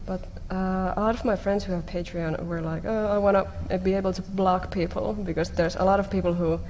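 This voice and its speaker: A woman's voice: mid-range voice, steady tone, a little fast, a little mellow. A young woman talking casually as a panelist on a stage, speaking into a table microphone. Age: young adult. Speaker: panelist, comic creator. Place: conference panel stage in a hall. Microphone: table microphone on a stand. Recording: echoey hall with some background noise.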